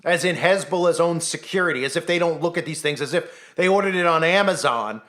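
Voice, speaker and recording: A man's voice: fairly high pitch, fairly quick, fairly bright tone. A man talking through a conspiracy commentary monologue, insistent and rhetorical, now and then acting out imagined voices. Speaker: commentary channel host.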